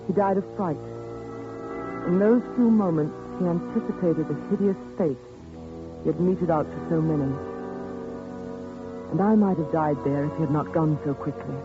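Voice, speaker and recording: A woman's voice: deep voice, moderate pace, pauses often, close to an everyday voice. An actress playing a shaken woman who recounts a horror in a letter, in a 1940s radio drama. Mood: haunted. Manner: haunted, intense, dramatic delivery. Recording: tinny 1940s radio broadcast with music.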